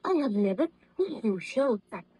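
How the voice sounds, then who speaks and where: A woman's voice: a little high, big pitch swings, normal speed, dark tone, husky, full character voice. An actress playing an anxious, devoted young woman in earnest, formal 1930s radio acting. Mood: concerned. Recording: muffled vintage radio drama recording.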